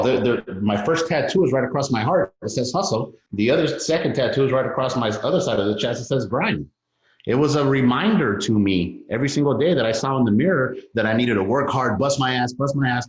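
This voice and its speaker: A man's voice: medium pitch, brisk. A man from south Los Angeles, whose was not English, speaking as a podcast guest, candid and emphatic, swearing freely. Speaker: guest, real estate investor. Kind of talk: interview, telling his story. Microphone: over a phone line. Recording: dull lo-fi line with room echo.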